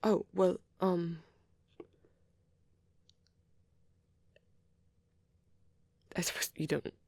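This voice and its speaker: A performer voicing a shy, bashful, nerdy young male vampire boyfriend in a soft, hesitant, rambling ASMR roleplay. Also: mid-range voice, moderate pace, no room sound, studio quality, lightly acted. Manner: hesitant, stammering, apologetic, excitable when rambling.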